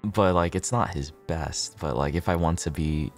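A man livestreaming a game, chatting casually with viewers, swearing freely, repeating himself and thinking out loud. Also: low voice, moderate pace, soft background music.

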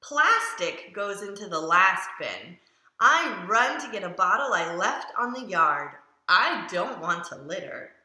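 A woman's voice: medium pitch, sing-song, measured pace, bright, forceful tone, exaggerated voice. A woman voicing an eager, curious family dog who narrates a children's picture book with simple, emphatic delivery. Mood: earnest. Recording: roomy echo around the voice.

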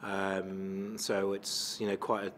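A middle-aged man with an Australian accent, a football coach answering questions at a post-match press conference into a table microphone, measured and full of fillers. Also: deep voice, completely flat delivery, a bit bright, a little hiss or hum. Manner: measured, many fillers and restarts. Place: press conference room with sponsor backdrop.

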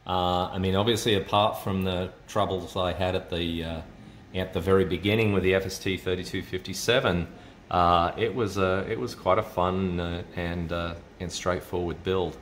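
Male hobbyist demonstrator, low register, halting off-the-cuff explanations, roomy home recording. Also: fairly even intonation. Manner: hesitant, explanatory, thinking aloud. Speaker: amateur radio hobbyist demonstrating a kit. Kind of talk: unscripted casual tech demonstration. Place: indoors at a home desk with computers. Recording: roomy echo, noticeable background noise.

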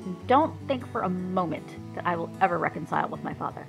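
A woman's voice: on the low side, expressive, gravelly, music in the background. Woman voicing a stubborn mermaid princess wife. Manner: emotional, quick to flare up.

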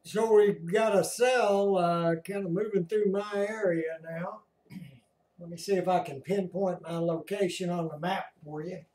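American man, slow halting delivery, mellow tone, casual live narration, echoey room. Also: high-pitched, steady tone, a little hiss or hum. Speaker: amateur storm-watcher narrating a screen recording. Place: indoors at home by a computer. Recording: roomy echo.

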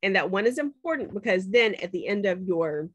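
A woman's voice: medium pitch, animated, a little fast, no room sound. A woman professor walking students through a spreadsheet on a shared screen, chatty and checking in with them often. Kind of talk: screen-share class demo.

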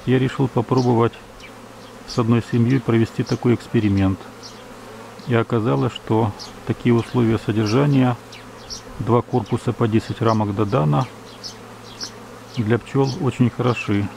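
A man from Ukraine, a beekeeper, calmly narrating outdoors at his hives, reading out times and temperatures in a measured, matter-of-fact way. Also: medium pitch, vocal fry, slight background noise, poor audio. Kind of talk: calm narration of apiary footage. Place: outdoors at an apiary beside hives. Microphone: camera's built-in mic. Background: buzzing bees.

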